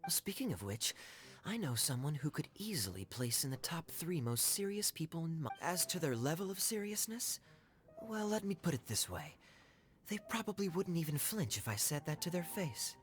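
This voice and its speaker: A woman's voice: low-pitched, sing-song, moderate pace, slight background noise. A woman voicing a sly, easygoing tavern regular in an anime-style game, with a playful, drawling, teasing manner.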